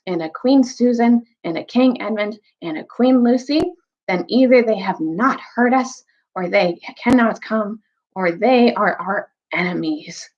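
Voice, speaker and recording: A woman's voice: medium pitch, a little slow, a little mellow, lo-fi sound, close to an everyday voice. A woman voicing a bitter, scheming male dwarf in a storybook, grudging and argumentative. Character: bitter, scheming male dwarf plotting against old legends.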